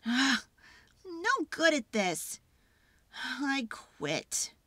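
A woman's voice: high voice, very animated, drawn-out words, pushed and bright, dry recording, pitched above the performer's own voice, full character voice. A woman voicing a frustrated, determined little girl inventor in a storybook, emphatic and exasperated. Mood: defeated, upset.

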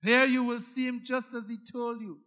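A man's voice: extremely high pitch, level tone, moderate pace, pushed and bright. A man with a Guyanese accent preaching a sermon as a pastor, emphatic and dramatic, repeating phrases for effect.

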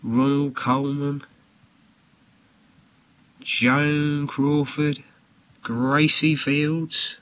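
Casting: male amateur seller-presenter, slightly high pitch, unhurried and halting, informal show-and-tell. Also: little pitch movement, a bit bright. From United Kingdom. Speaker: trading card seller presenting his video. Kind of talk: informal product showcase.

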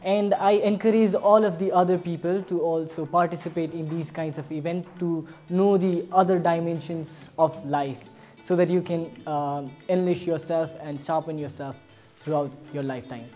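Young guy w nepali accent, soft dark voice, earnest interview, little music behind. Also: fairly high pitch, fairly even intonation, moderate pace. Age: 20s. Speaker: contest participant. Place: indoor event venue, before a banner.

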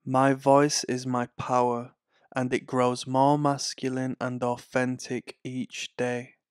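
A man reading guided affirmations in a calm, soothing, scripted way. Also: medium pitch, clear, resonant tone, no room sound, studio quality. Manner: slow, calm, soothing delivery. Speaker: affirmation narrator.

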